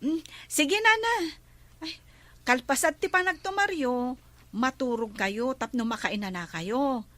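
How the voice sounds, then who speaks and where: A woman with an Ilocano accent acting a caring, concerned village neighbour in a comedy drama, with a coaxing, drawn-out delivery. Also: high-pitched, lively intonation, sharp tone, no room sound, heavily acted.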